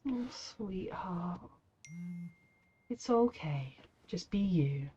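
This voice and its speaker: A woman's voice: lowish voice, lively intonation, drawn-out words, mellow, breathy edge, muffled recording. Sniffly woman with a head cold. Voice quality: nasal from a cold. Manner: chatty, empathetic, talks to the characters. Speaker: reaction video host. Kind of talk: casual reaction commentary.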